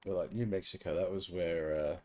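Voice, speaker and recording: A man's voice: sits low, fairly even intonation, brisk, mellow. A man from England with an English accent, chatting casually as a live streamer while gaming, teasing and swearing casually.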